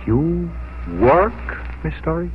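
A man acting an eager, charming young well-to-do gentleman courting someone, in 1950s radio drama style. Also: fairly high pitch, expressive, slow pace. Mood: amused, courteous. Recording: noisy, muffled 1950s radio broadcast.

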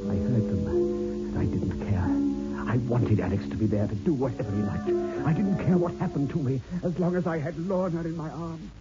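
A man's voice: on the high side, animated, moderate pace, close to an everyday voice. An actor playing a spellbound, lovestruck man in an old-time radio horror play, fervent and submissive. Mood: entranced, haunted. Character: spellbound, lovestruck man telling his own horror tale. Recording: dull old radio recording under loud music.

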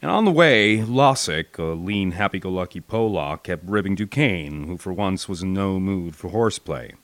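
An American man narrating a first-person war memoir as an audiobook, with expressive, dramatic delivery. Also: sits low, moderate pace, no room sound.

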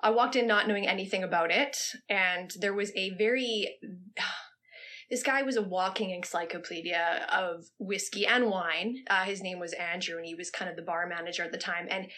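An earnest woman with a Canadian accent answers an interview question in a long, rambling way. Her voice is bright and forward, and she restarts her sentences as her thoughts pile up. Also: mid-range voice, moderate pace. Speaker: podcast co-host.

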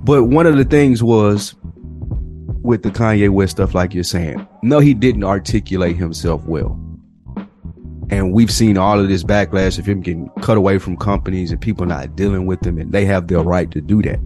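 A man with an American accent and a fairly low voice holds forth in a casual podcast discussion. He is long-winded and emphatic, often repeating a phrase for weight. Music plays behind him. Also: normal speed, warm tone. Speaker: podcast co-host.

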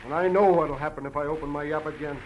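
A man's voice: a little high, fairly even intonation, quick pace, lo-fi sound, lightly acted. An American actor playing a beaten, frightened small-time man in a radio drama, in pain, whining and stubbornly defensive. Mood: scared, stubborn. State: hurt, in pain. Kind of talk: 1940s radio drama acting. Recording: slightly noisy vintage radio recording.

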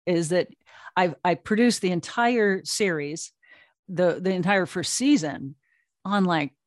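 A woman talking casually as an interview guest, telling things in an animated storyteller way. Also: lowish voice, measured pace, no echo, studio quality. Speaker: interview guest, audio drama writer and producer.

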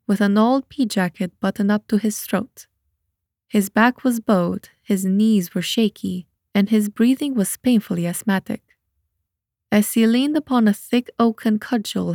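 A woman narrates a story in a scripted audiobook style. Her voice sits at a medium pitch and is recorded very dry, with no room sound. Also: a little mellow, no echo.

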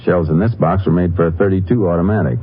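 A man playing a calm, persistent Texas Ranger in a 1950s radio drama, terse and polite as he questions methodically. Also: deep voice, fast, breathy edge. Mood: firm, official. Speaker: actor. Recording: muffled, noisy old radio recording.

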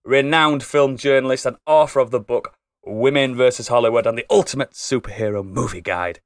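A man's voice: medium pitch, fairly quick, dry recording, studio quality. A man hosting a podcast, introducing guests with jokey, theatrical flair. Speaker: podcast host. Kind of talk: casual chat.

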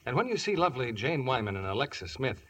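A man's voice: mid-range voice, sing-song, fast talker, fairly bright tone, breathy edge. An American man announcing a scripted 1950s radio advertisement. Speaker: commercial announcer.

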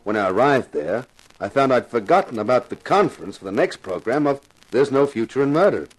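An actor playing a wisecracking, persuasive TV detective star turned amateur sleuth in a 1950s radio detective drama. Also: male voice, medium pitch, lively intonation, fairly quick, natural voice, poor audio. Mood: wry narration. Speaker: lead actor and narrator. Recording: vintage 1950s radio recording.

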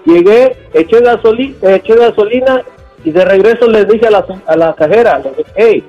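Loud excited male radio caller. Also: high voice, normal speed, soft background music. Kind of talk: phone-in story. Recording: dull phone line on radio.

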